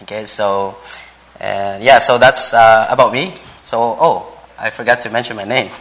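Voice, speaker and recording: A man in his thirties from the Philippines, with a Filipino accent and English as a later language, speaking to a church in a halting, polite way as a visiting preacher. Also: on the low side, fairly bright tone, poor audio. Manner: halting, frequent pauses and repeated words, polite. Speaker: visiting preacher, Bible college vice president. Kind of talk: church sermon introduction and scripture reading.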